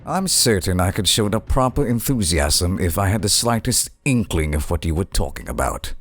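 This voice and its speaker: A man playing a dry, formal older butler in a comic dub, prim and deadpan. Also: low voice, moderate pace, no echo, soft background music, exaggerated voice. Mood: dryly puzzled. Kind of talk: comic book dub acting.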